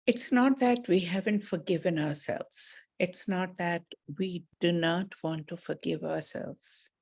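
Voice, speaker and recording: Female meditation teacher. Very unhurried, soft-edged mellow tone, soothing and measured, mid-range pitch, deliberate pauses. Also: creaky, husky. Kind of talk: guided meditation and spiritual talk.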